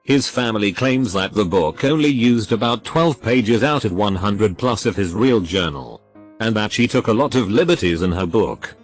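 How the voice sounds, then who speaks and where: Low, lively male narrator voice. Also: normal speed. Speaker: narrator reading forum posts. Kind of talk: scripted narration of reader stories. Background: faint background music.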